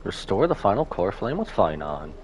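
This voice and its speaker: A man's voice: sits low, big pitch swings, normal speed, slight background noise. A man streaming a game, reacting casually with dry, grumbling asides. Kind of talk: live reaction commentary, casual.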